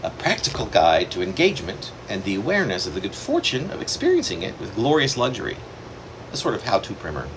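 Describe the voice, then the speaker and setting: Deep-voiced man reading his own poem. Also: wildly swooping pitch, fast, background noise. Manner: wandering, self-correcting delivery with sudden pitch leaps. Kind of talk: poetry reading.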